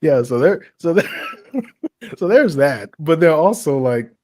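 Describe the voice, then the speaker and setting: A man's voice: fairly high pitch, very animated, slow pace, a little mellow, no room sound, studio quality. A man co-hosting an open chat show, talking casually with lots of laughter and restarts. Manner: laughs often, rambling restarts. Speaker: podcast co-host.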